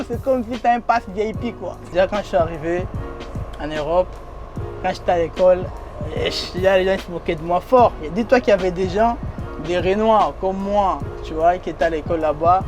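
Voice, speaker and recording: High-voiced young man with a foreign accent. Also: a little fast, over music. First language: not French. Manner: slangy, streetwise, repeats phrases, laughs often. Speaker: interviewee. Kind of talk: casual interview talk. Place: in a limousine.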